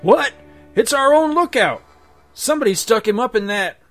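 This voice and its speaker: A man voicing a panicked outlaw leader in a comic story, yelling high and strained. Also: animated, moderate pace, soft background music. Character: panicky hot-headed male outlaw leader.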